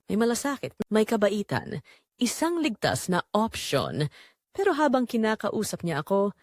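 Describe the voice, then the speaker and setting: A woman's voice: medium pitch, darkish tone, no echo, studio quality. A woman reading a first-person dramatic story in an emotional, confessional manner. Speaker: audio drama narrator.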